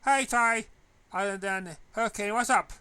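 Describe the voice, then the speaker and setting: A man's voice: high voice, moderate pace, pushed and bright, clear, resonant tone, pitched above the performer's own voice. A man voicing a brash, sporty, confident teenage schoolgirl with a casual, punchy way of talking. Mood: upbeat.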